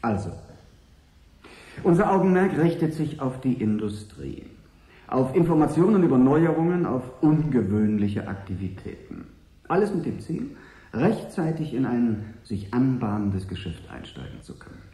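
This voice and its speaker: An actor playing a smooth, polite but ruthless consortium agent in a radio drama, articulate, wry and persuasive. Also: male voice, medium pitch, big pitch swings, normal speed, warm tone, roomy sound, close to an everyday voice. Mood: smooth, businesslike. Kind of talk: 1980s German radio drama acting.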